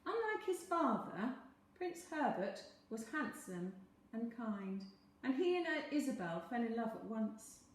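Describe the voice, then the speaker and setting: A woman reading a children's story aloud in a dramatic, expressive manner. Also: on the high side, a little slow, slight background noise. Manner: dramatic, animated. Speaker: storyteller, teacher. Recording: very echoey room.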